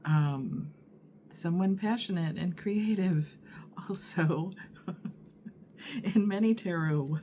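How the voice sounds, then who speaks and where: Deep-voiced, slow-talking woman tarot reader. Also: mellow, slight background noise. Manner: unhurried, musing, many fillers and pauses. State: husky morning voice from allergies. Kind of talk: casual tarot reading to camera.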